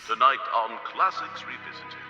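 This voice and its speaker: A man's voice: medium pitch, animated, normal speed, pushed and bright, soft background music. A man doing promotional radio announcing, heard in dull, echoey clips.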